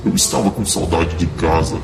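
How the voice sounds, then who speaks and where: A man's voice: deep voice, normal speed, creaky, over music. A Brazilian man playing a jolly, bumbling Santa Claus in an audio drama, exclaiming theatrically. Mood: jolly, sheepish.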